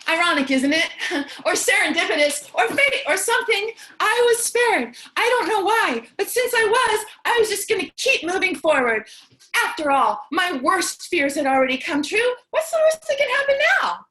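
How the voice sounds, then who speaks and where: A woman's voice: very high-pitched, sing-song, normal speed, sharp tone, heavily acted. A woman playing a lone, anxious apocalypse survivor in a theatrical stage monologue, wry and conversational. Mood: wry, rising.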